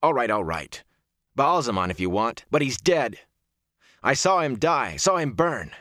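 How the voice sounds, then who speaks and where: A man's voice: low voice, expressive, normal speed, fairly bright tone, no room sound, clean studio sound. A man voicing a troubled, stubborn young village man in a fantasy audiobook, earnest and insistent.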